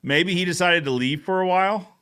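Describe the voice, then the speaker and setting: Bright, clear-voiced man hosting a chat. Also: sits high, brisk. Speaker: show host. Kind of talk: casual recap chat, bantering.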